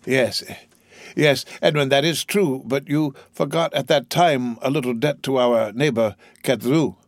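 A frail old father, acted out by a male narrator in a classic storybook reading. He is tender and humble, speaking in a gentle, halting way. The sound is dry and close. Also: medium pitch, dry recording, voice pushed up, lightly acted. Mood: gentle, apologetic. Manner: gentle, halting, self-effacing. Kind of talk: dramatised audiobook reading of a classic novel.

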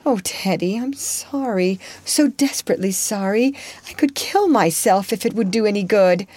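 A woman voicing a frank, warm-hearted young woman gently refusing a friend, in a storybook reading. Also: mid-range voice, big pitch swings, a little slow, dry recording. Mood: remorseful. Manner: earnest, remorseful.

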